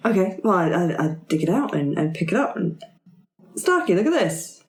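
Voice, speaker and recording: A woman's voice: fairly low pitch, very animated, moderate pace, darkish tone. A woman playing a tabletop roleplaying game, chatting casually and thinking aloud as she improvises. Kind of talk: casual improvised in-character chat. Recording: roomy, echoey tabletop recording.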